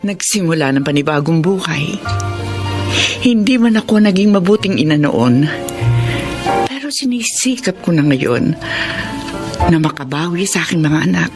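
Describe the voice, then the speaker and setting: A woman's voice: lowish voice, lively intonation, moderate pace, long pauses. A woman playing a middle-aged, repentant Filipino mother in a heartfelt, dramatic radio drama style. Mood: solemn, grateful. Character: repentant Filipino mother, tearful, grateful, reunited with her children. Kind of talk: emotional radio drama acting and narration. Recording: radio drama with background music.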